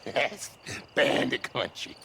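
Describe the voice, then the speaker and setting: An actor playing a sneering captured outlaw with a creaky voice in a western video game. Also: male voice, mid-range voice, slow pace. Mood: mocking. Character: taunting, sniggering captured outlaw. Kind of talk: video game character acting. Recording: loud outdoor noise over voice.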